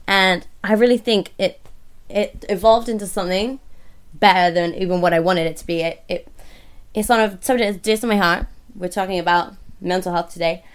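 A woman hosts her podcast with a croaky, hoarse voice left over from being sick. She chats in a loose, rambling, upbeat way. Her pitch sits in the middle of the range. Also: moderate pace. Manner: bubbly, rambling, swears casually. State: hoarse from illness. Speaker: podcast host. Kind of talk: casual podcast chat.

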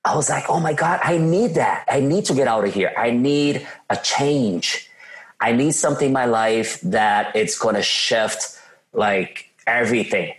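A man's voice: mid-range voice, unhurried. A man from Brazil with a Brazilian Portuguese accent, a guest telling his life story in an interview and acting out old conversations. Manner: animated, acts out his own past dialogue.

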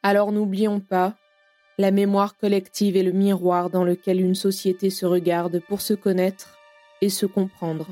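A woman reading a formal, measured scripted introduction to an audio drama as its narrator. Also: mid-range voice, little pitch movement, warm tone, dry recording. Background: music.

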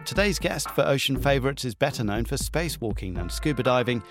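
A man's voice: mid-range voice, lively intonation, brisk. Male presenter reading over music. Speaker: show presenter. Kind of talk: scripted introduction.

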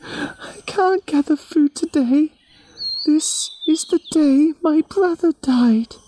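A man voicing a grieving young woman who weeps for her brother, in a high, soft, tearful voice, as part of a children's story. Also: takes it slowly, noisy background.

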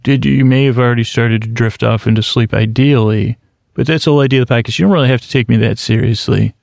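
A man in his thirties hosting a sleep podcast in a slow, meandering bedtime monologue, halting often with restarts and fillers. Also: medium pitch, creaky, a little mellow, dry recording. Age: 30s.

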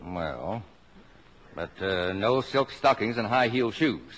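A man's voice: on the low side, lively intonation, slow, a bit bright, heavily acted. A middle-aged American man playing a strict, fussy, sardonic father in a 1950s radio comedy, with a dry, exacting manner. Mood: dry, firm. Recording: noisy 1950s radio broadcast, live audience.

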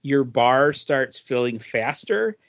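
A man's voice: medium pitch, lively intonation, takes it slowly, a bit bright, dull audio. A man co-hosting a podcast, eagerly explaining how a mobile game works in casual chat. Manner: explains eagerly, talks over others. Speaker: podcast co-host.

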